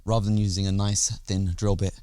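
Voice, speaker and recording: A man's voice: on the low side, level tone, a little fast, mellow, slight background noise. A man hosting a DIY video, talking casually and thinking aloud as he walks through a hands-on installation outdoors. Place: outdoors by a concrete wall.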